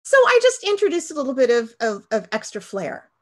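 A woman's voice: sits high, sing-song, a little fast, a little hiss or hum. A woman in her thirties hosting a casual livestream interview over a video call, chatty and rambling with lots of fillers. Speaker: podcast host.

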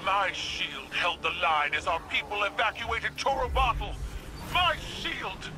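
An actor voicing a proud, defiant alien ruler, speaking in a firm, measured way in a game cutscene. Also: male voice, high voice. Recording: game cutscene with music behind.

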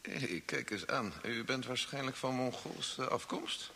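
An actor playing a courteous, pedantic professor in a Dutch radio play, speaking formally and precisely. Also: male voice, medium pitch, animated, unhurried, gravelly, sharp tone, a little hiss or hum, exaggerated voice. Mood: intrigued, courteous.

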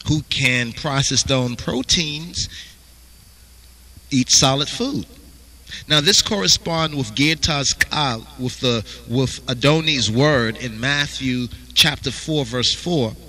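A man's voice: on the high side, sharp tone, poor audio. A man teaching a Bible study, reading verses aloud and asking "you understand?" after nearly every phrase. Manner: repeats "you understand?" constantly.

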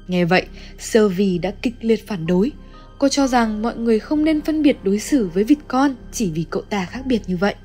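A woman's voice: mid-range voice, dark tone. A woman reads a scripted story recap as narrator. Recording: music under the voice. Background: background music.

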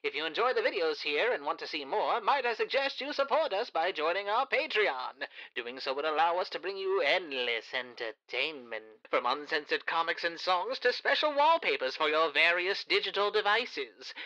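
A man voicing a suave, theatrical demon showman giving a silky, formal old-time radio sales pitch through a radio filter. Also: very animated, normal speed, sharp tone. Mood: suave, enticing. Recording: dull band-limited radio sound.

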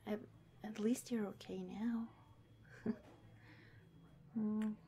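A woman playing an affectionate, shy cop in a soft-spoken, intimate ASMR roleplay, gentle and hesitant with her partner. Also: mid-range voice, flat delivery, very slow. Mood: tender, shy.

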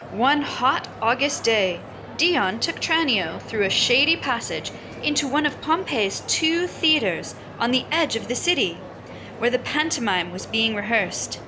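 Animated high-voiced woman reading a storybook. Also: a little slow. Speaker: storyteller reading a children's book aloud. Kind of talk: expressive picture-book read-aloud narration. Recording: loud music and crowd sounds underneath. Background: music and crowd noise.

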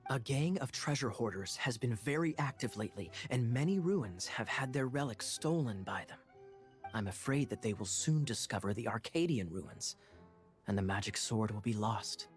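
A man voicing a sly young knight captain who speaks in a smooth, theatrical, playfully mocking way. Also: mid-range voice, lively intonation, moderate pace, soft background music, natural voice. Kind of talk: game cutscene dialogue.

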